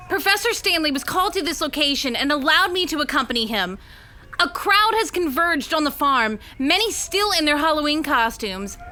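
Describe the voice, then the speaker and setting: An actress playing an earnest, astonished American radio field reporter, with urgent delivery in an old-style radio drama. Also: female voice, high-pitched, sharp tone. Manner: urgent, breathless news delivery.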